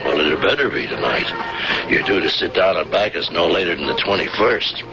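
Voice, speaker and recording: An actor playing a shifty, fast-talking male smuggler in a 1970s radio drama, wheedling and chuckling through his sales patter. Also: deep voice, animated, creaky. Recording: dull old radio broadcast with music.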